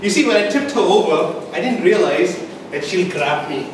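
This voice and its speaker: A man telling a comic tall tale as a speech to a live audience, with theatrical pauses and an emphatic build-up. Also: high-pitched, expressive. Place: indoor meeting room, recorded from the audience. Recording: distant microphone, echoey room, background noise.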